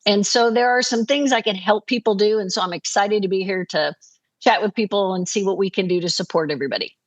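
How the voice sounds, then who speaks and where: A woman's voice: medium pitch, fast talker. Upbeat sixties woman, podcast guest coach, talkative. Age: 60s. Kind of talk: casual podcast chat.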